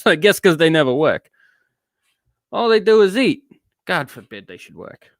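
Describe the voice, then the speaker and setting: A man's voice: fairly high pitch, big pitch swings, normal speed, dry recording, clean studio sound. Man putting on a cranky, edgy, theatrical ranter. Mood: scornful griping. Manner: theatrical, cranky, put-on shifting accent. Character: edgy, bitter, ranting neurotic man telling a travelogue. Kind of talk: dramatic reading of a rambling rant.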